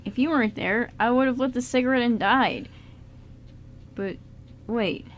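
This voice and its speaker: A woman's voice: a little high, normal speed, lightly acted. A woman playing a curious, puzzled young man in an amateur radio drama, speaking in a casual, hesitant way. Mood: uneasy, curious. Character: curious, puzzled young male friend of time traveler. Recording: noisy amateur radio show recording.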